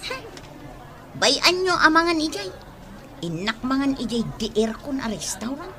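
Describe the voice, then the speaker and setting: A vain young wife who loves to spend. Her voice is high, with an Ilocano accent and a boastful, dismissive air. The style is radio comedy drama. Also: sing-song, a little slow, pushed and bright, full character voice. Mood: showing off. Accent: Ilocano, northern Philippines.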